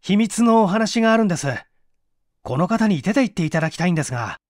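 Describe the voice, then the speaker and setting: A man voicing a brash, confident, impatient inventor in a storybook reading, brusque, hurried and assured. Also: high-pitched, lively intonation, dry recording, clean studio sound. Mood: insistent.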